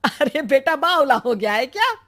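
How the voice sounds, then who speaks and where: Bossy cartoon mother-in-law, high sharp voice, drags out sarcastic taunts at her grown son. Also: sing-song. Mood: gloating. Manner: theatrical, sarcastic, drawn-out scolding. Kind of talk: animated Hindi moral story acting.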